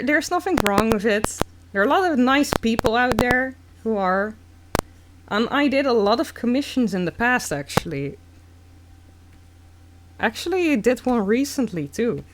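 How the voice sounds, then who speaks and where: A young Dutch woman with a Dutch accent, chatting casually and haltingly while reading comments on a live stream. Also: fairly high pitch, noisy background. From Netherlands. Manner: slow, halting, many fillers.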